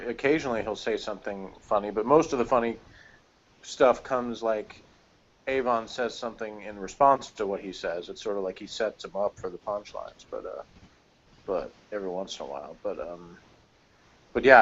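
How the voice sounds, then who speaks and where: Low-voiced man, hesitant, on muffled audio. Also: steady tone, a bit bright. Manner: hesitant, rambling, measured. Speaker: guest co-reviewer. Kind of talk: casual review chat.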